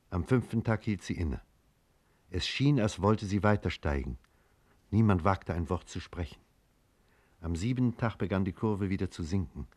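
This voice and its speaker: A grave man of science reads out his record in a low voice. His diction is precise and measured, and his tone is solemn, in the manner of 1950s radio drama. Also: darkish tone. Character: grave male scientist-doctor reading his written eyewitness account.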